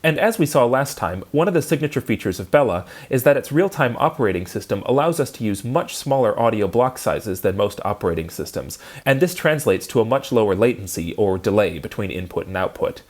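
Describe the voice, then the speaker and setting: Man lecturing with lively pitch movement. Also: brisk, hardly any pauses. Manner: clear, methodical explaining. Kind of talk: prepared technical lecture.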